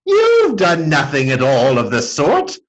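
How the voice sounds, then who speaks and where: A man plays a smug storybook chief, cheery and boastful. The delivery swoops high and low with breezy reassurance, slightly husky and drawn out. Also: huge pitch swings, unhurried, exaggerated voice.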